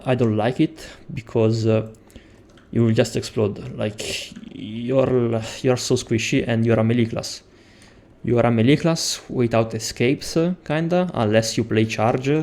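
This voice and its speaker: A man's voice: mid-range voice, unhurried, darkish tone. Italian-accented man explaining a game build. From Italy. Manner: explains step by step with frequent hesitations. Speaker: game guide creator. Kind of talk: gameplay tutorial.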